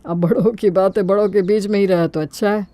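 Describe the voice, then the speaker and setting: A man's voice: sits high, fairly even intonation, a little fast, dark tone, no echo, lightly acted. A man acts out a middle-aged father in a cartoon moral tale, scheming for his son's wedding. His delivery is mock-humble and persuasive, recorded very dry. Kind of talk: Hindi cartoon moral-story dubbing.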